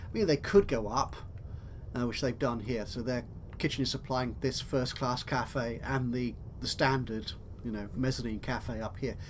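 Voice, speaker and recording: A man's voice: medium pitch, moderate pace, a little hiss or hum. A man giving casual let's play commentary, chatty and thinking aloud as he goes. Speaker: gaming video host.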